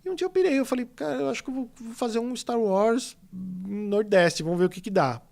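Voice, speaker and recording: A man's voice: very high voice, a little fast, creaky. A man from São Paulo, a guest illustrator telling stories in a casual podcast interview, rambling with lots of fillers. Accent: São Paulo Portuguese. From São Paulo state, Brazil. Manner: rambling, animated storytelling with many fillers.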